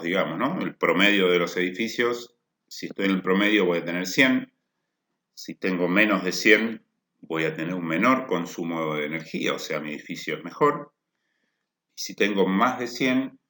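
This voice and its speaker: A man's voice: low-pitched, a bit bright. A man giving a technical workshop lecture, explaining step by step and often rephrasing his points to check the audience follows. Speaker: energy efficiency lecturer. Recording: echoey room.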